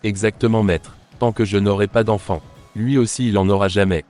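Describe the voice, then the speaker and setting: Man playing a bitter jealous brother, low voice, resentful muttering, faint music behind. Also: fairly even intonation, fairly quick, darkish tone, natural voice. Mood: determined. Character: jealous, scheming grown man, older brother.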